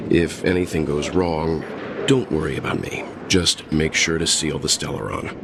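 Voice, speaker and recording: A man playing a calm, wise older gentleman in a game cutscene, speaking in a measured, reassuring way. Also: very deep voice, vocal fry, background noise. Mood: composed. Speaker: game character. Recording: game score playing loudly underneath.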